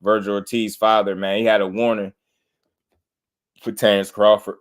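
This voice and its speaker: A man's voice: fairly low pitch, flat delivery, moderate pace. Laid-back man riffing on boxing. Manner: laid-back, laughs often, repeats himself. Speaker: boxing channel host. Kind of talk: casual reaction commentary.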